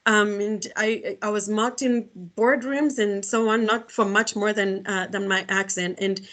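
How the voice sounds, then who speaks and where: Earnest woman, Kenyan accent, speaking on a call, middle voice, halting sentences. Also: mid-range voice, fairly even intonation, a bit bright. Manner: earnest, many restarts and fillers. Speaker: guest speaker. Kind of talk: online talk. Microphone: video call.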